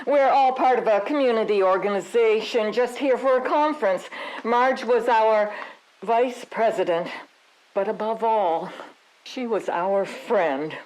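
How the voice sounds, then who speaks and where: A woman playing a guarded suburban club member in a comic audio drama, giving measured, evasive condolences in rehearsed grief. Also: a little high, a little mellow, lightly acted, rough recording. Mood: stiff grief.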